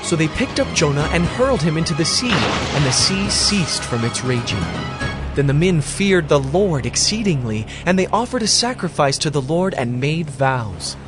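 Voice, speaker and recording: Casting: male narrator for dramatized scripture, animated intonation, slightly high voice, faint music behind. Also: moderate pace.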